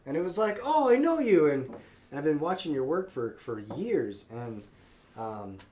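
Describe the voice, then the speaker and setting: A man's voice: fairly high pitch, big pitch swings, moderate pace, mellow, slight background noise. Stuffed-up man hosting a live sale. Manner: friendly, rambling, many fillers and restarts. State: stuffed up with a cold. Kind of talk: casual live-stream chat.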